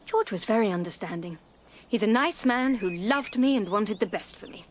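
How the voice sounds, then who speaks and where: A woman's voice: medium pitch, animated, moderate pace, a little hiss or hum, lightly acted. An actress playing an earnest, genteel young woman, polite in manner, in a radio drama. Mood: hopeful. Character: Nell, earnest, genteel young English nurse and wife.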